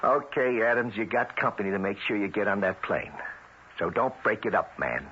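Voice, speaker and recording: A man's voice: on the low side, normal speed, sharp tone. An actor playing a coarse, wisecracking gangster henchman in a radio drama, slangy and mock-polite. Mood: threatening. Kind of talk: 1970s radio drama acting. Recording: noisy, dull vintage radio recording.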